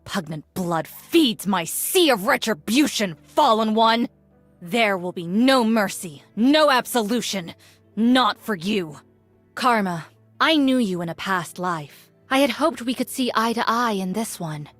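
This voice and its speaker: An actress playing a solemn, wise warrior woman in a video game, speaking in grave, formal, measured declarations. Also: medium pitch, expressive, a bit bright, no room sound, faint music behind. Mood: stern, vengeful. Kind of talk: video game character voice lines.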